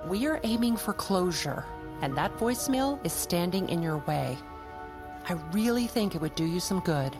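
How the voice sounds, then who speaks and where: A woman playing a measured, firm therapist in an audio drama. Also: on the low side, expressive, music in the background. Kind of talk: audio fiction acting.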